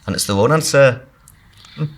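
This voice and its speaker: A man's voice: mid-range voice, a little fast. A man with a North East English accent, the podcast host, chatting casually and wandering off on tangents, tripping over his words. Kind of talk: casual pub podcast chat. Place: pub.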